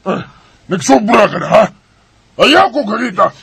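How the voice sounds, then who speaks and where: A man's voice: high-pitched, lively intonation, unhurried, creaky, breathy edge, full character voice. A man voicing a stern father scolding his grown son in a radio drama. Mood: angry scolding. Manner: shouting, scolding.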